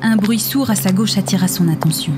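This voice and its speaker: A woman's voice: mid-range voice, fairly quick, background noise. A woman narrator reading scripted fiction in an audio drama. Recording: music under the voice.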